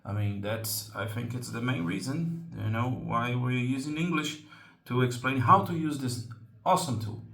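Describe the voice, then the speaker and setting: A man with a Brazilian Portuguese accent talks casually in English at a middle pitch, often pausing on ums and restarting his sentences. His voice rings with the echo of a hard room. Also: steady tone, fairly bright tone. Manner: halting, many ums and restarts. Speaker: slingshot channel host. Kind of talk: casual tutorial explanation.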